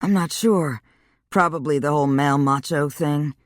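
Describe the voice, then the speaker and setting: A woman's voice: normal speed, no room sound, lightly acted. Woman reading as a centuries-old vampire man. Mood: dry. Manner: low, dry, clipped replies. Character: centuries-old male vampire, brusque and teasing.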